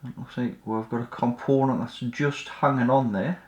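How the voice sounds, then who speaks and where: A man's voice: medium pitch, fairly even intonation, normal speed, darkish tone. Man thinking aloud in an echoey room. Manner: tentative, thinking aloud while working. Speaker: host of an electronics repair channel. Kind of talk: casual hands-on repair commentary. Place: indoors at a repair workbench. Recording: roomy, echoey indoor sound.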